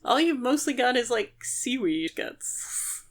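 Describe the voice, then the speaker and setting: A woman's voice: on the high side, very animated, slow. A woman co-hosting a podcast, explaining a story in casual conversation. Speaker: podcast co-host. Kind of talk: casual book discussion, explaining plot.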